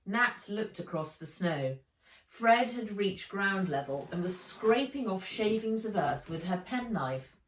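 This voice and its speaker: Unhurried woman storyteller in a roomy space. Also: mid-range voice, animated, a little hiss or hum. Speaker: author reading their own book. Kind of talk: children's audiobook narration. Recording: roomy echo, slightly distant mic.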